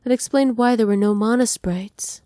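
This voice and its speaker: A woman playing a doting, possessive witch in an ASMR roleplay, speaking softly with teasing, condescending affection. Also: fairly high pitch, moderate pace, no echo, lightly acted. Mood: soft, coaxing. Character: doting, possessive witch mistress pampering her captive pet. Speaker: voice actor.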